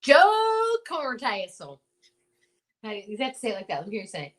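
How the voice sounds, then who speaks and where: A woman's voice: a little high, huge pitch swings, moderate pace. A woman hosting and directing an amateur radio show, casually coaching and stretching key words theatrically.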